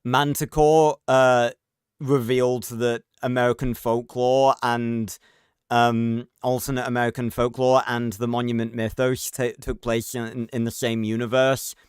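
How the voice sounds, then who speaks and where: A man's voice: mid-range voice, little pitch movement, unhurried, clean tone, dry recording, clean studio sound. A man giving casual, rambling livestream reaction commentary with frequent swearing. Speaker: reaction streamer.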